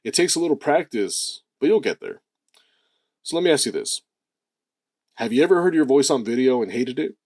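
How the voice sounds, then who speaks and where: A man with a deep voice giving a motivational talk as a self-improvement host, animated, stressing key words and drawing out phrases. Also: normal speed, long pauses, no echo, studio quality.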